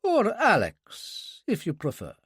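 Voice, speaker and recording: A male reader acts out a suave Russian man of courtly, self-mocking charm. The accent is only slight and the pace is very leisurely. The pitch swings widely, in a close, dry storybook recording. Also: wildly swooping pitch, a bit bright, slightly rough, no echo, full character voice. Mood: smooth, ironic. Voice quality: pleasantly deep. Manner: unhurried, courtly, ironic.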